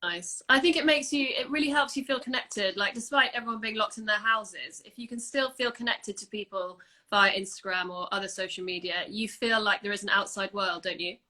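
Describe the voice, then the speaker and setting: Bright, chatty woman. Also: mid-range voice, brisk, few pauses. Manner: chatty and upbeat, full of everyday British phrases. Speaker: host of an Instagram live. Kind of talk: casual video chat. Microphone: phone or laptop for a livestream.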